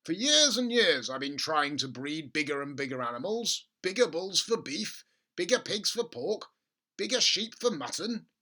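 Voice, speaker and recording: Casting: narrator as small storybook farmer dad, highly excitable, raised swooping pitch, bright emphatic shouts. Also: normal speed, exaggerated voice. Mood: eager excitement. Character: small excitable farmer father, easily worked up.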